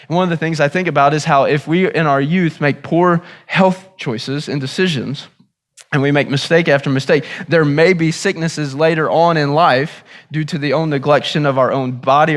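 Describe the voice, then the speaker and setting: A pastor preaching a sermon in an earnest, teaching manner, sounding a little hoarse and often repeating himself as he restarts phrases. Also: a little high. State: hoarse, recovering from lost voice.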